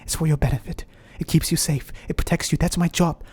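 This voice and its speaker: A man's voice: a little high, fairly even intonation, fairly quick, a little mellow, slightly rough. A man voicing a haughty, sceptical inner critic in a scripted skit, with a put-on British accent and a scornful, theatrical manner. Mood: defensive.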